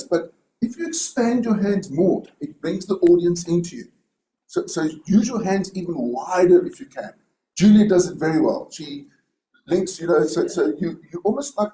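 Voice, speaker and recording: A man with a loud booming voice, giving rambling spoken feedback on a speech at a club meeting. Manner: rambling, many restarts and fillers. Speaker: speech evaluator. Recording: echoey room with faint hiss.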